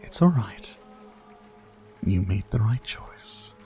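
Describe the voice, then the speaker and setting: Man acting sadistic possessive vampire, low-pitched, breathy whisper, crooning commands, intimate ASMR, music underneath. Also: animated. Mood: possessive, soothing. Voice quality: breathy, often dropping to a whisper. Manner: slow, commanding, mock-tender crooning. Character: sadistic dominant male vampire, possessive, seductive captor.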